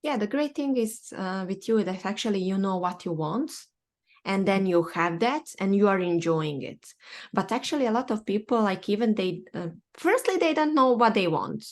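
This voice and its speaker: A young woman from Bosnia hosting a podcast chat in English with a Bosnian accent, speaking haltingly with many fillers and restarts. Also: mid-range voice, animated. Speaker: podcast host. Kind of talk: casual online chat.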